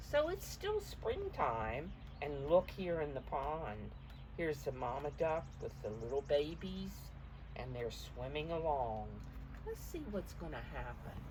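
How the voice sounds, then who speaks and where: An older woman with a low, very expressive voice talks to small children as she reads a picture book. She explains things simply and asks questions, at an unhurried pace. She is recorded from a little distance. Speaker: children's storybook reader and teacher. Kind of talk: picture-book read-aloud for preschoolers, talking to the children. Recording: distant mic with faint background noise.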